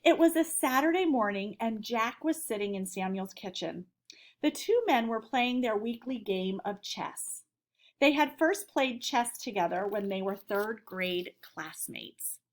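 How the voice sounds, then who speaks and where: A woman with an American accent and a fairly high voice speaks as an elementary teacher. She guides children through a read-aloud lesson with simple, clearly phrased questions and explanations. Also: expressive, unhurried.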